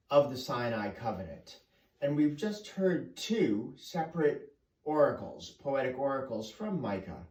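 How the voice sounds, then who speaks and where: A man delivers an explanatory lecture in the manner of a professor. He speaks slowly at a middling pitch, with deliberate, emphatic phrasing and pauses. The room is echoey and the microphone is at some distance. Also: warm tone.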